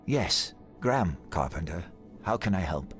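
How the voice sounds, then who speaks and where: Game aristocrat, male: deep, courteous, benevolent, formal phrasing with animated melody. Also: faint music behind. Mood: polite concern. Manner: formal, measured, polite. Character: courteous, benevolent male heir of an influential family. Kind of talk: video game cutscene dialogue.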